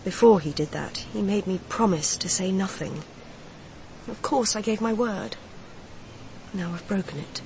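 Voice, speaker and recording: An actress playing a grieving mistress confiding in a detective in a radio crime drama, speaking in a measured, confessional way. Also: female voice, medium pitch, gravelly, darkish tone, a little hiss or hum, poor audio. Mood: resigned, bitter.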